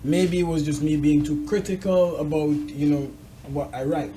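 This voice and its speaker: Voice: mid-range voice, steady tone, moderate pace, dark tone, poor audio. A show host conducting a casual, conversational interview, asking questions and sharing personal thoughts.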